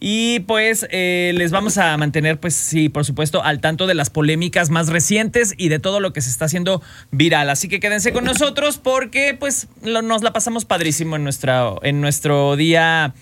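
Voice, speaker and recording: An upbeat Mexican man in his forties hosts a radio gossip show, talking in a chatty way with quick asides. His voice sits high and sounds bright and forceful. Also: animated. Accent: Mexican Spanish. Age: 40s. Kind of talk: casual gossip radio chat.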